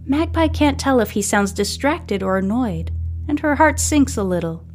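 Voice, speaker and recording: A woman narrates a serial story as podcast host. Her voice sits a little high, and faint music plays behind the scripted reading. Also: lively intonation, moderate pace, darkish tone.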